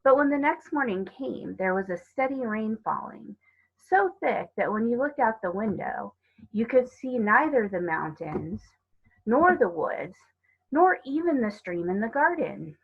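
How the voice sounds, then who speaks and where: A woman narrating a children's story aloud in a measured, expressive read-aloud way. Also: a little high, gravelly, breathy edge, phone-quality sound. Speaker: storybook reader.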